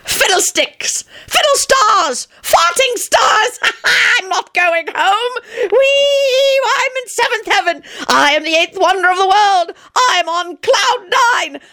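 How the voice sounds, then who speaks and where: A woman's voice: high voice, huge pitch swings, moderate pace, a bit bright, voice pushed up, full character voice. A woman voicing a boisterous old grandfather who shrieks and whoops with glee in a storybook reading. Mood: manic glee.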